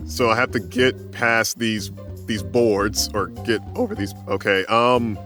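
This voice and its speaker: A man chatting casually as a player in a tabletop game, speaking in a halting, hesitant way. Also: on the low side, pushed and bright, soft background music. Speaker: player in tabletop role-playing podcast. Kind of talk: casual improvised game chat.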